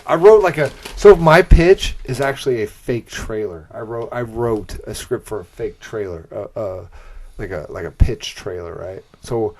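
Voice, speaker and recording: A man co-hosting a podcast, informally reading out a pitch amid banter and often checking in with "right?". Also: lively intonation, moderate pace, darkish tone. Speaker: podcast co-host pitching a movie trailer. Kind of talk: casual group banter, reading out a scripted pitch.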